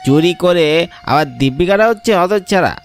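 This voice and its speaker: A man's voice: high voice, expressive, a little fast, faint music behind. A man voicing a harsh, accusing village uncle in a cartoon, scolding. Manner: scolding, berating. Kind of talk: cartoon voice acting.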